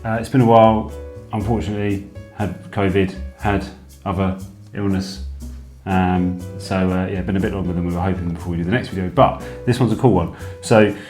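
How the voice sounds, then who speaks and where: Low-voiced man, chatty snack taster. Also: fairly even intonation, fairly quick, hardly any pauses, a little mellow. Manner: chatty, hesitant, with lots of ums. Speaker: co-host. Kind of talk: casual snack-tasting chat. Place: home room. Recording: echoey room with background music.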